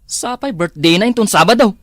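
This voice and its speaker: A man's voice: sits high, animated, fairly quick, fairly bright tone, no room sound, studio quality. A man acting a henpecked, whining village husband in an Ilocano comedy drama, with an Ilocano accent.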